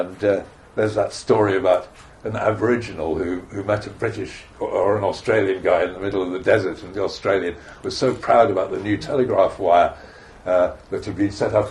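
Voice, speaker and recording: An older man giving an informal explanatory talk in a discursive, conversational way, with hesitant pauses and small asides. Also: fairly low pitch, a little mellow, poor audio. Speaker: speaker on earth energies and ancient sites. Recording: live talk with clear background noise.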